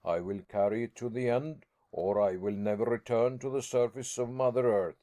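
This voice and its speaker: A man's voice: medium pitch, expressive, slow pace, mellow. A man voicing a stubborn older professor and explorer who refuses to turn back, tender at first and then harsh and commanding. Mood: fierce.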